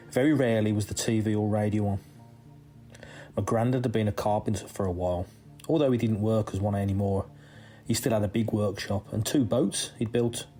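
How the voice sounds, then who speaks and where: A man with a fairly low voice narrates a personal story in the first person. He reads in a measured way, leaving deliberate pauses between phrases, with music playing underneath. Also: mellow. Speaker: reader of a listener's true story.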